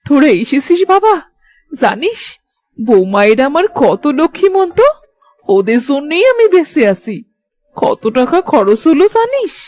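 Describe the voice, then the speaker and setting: Woman as animated older village mother-in-law. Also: high voice, measured pace. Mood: affectionate, relieved. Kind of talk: animated moral story acting.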